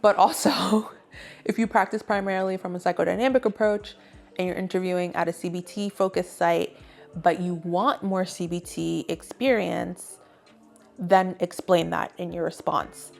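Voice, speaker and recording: A woman's voice: fairly low pitch, fairly even intonation, moderate pace, creaky, faint music behind. A young adult woman hosting an advice video, talking casually and conversationally to viewers with emphasis on key points. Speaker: video host, graduate student giving advice.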